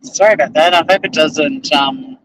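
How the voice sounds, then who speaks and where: A man's voice: on the high side, normal speed, noisy background. A middle-aged Australian man vlogging casually from inside his car as he drives, rambling and self-deprecating, with casual swearing. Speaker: vlogger and lawyer. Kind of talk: casual chat to camera while driving. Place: inside a car while driving.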